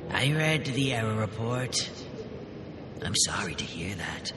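An actor playing a curt, weary and dryly defiant lab boss in a science fiction audio drama. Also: male voice, lowish voice, sing-song, unhurried, over loud music. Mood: cold, defiant.

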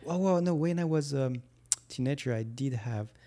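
Guy cohosting a chill podcast, kinda hesitant, restarts a lot, mid pitch. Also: moderate pace, warm tone. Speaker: podcast co-host. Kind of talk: casual chat.

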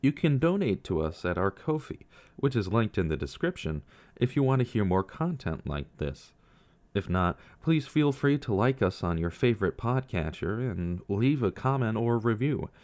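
A man's voice: lowish voice, big pitch swings, normal speed, a little mellow. A young man hosting a true-crime podcast, reading scripted narration in a reflective, questioning way.

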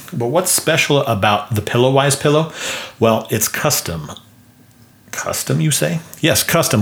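A man with a somewhat low voice hosts a solo podcast for his clinic. He calls his own delivery monotone, and his talk is loose and casual, full of "um" and "you know". He keeps asking himself rhetorical questions and then answering them. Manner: self-described monotone delivery. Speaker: podcast host and clinic owner.

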